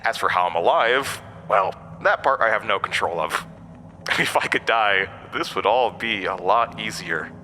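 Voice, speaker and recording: Horror podcast villain, male actor: undying hatted entity, very bright forceful edge, mocking and weary, theatrical, faint noise behind. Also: fairly low pitch, expressive, a little slow. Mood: menacing, amused. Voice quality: broken-windpipe voice, borrowed from another man. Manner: mocking, theatrical, cryptic, chuckling asides. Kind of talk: horror audio drama acting.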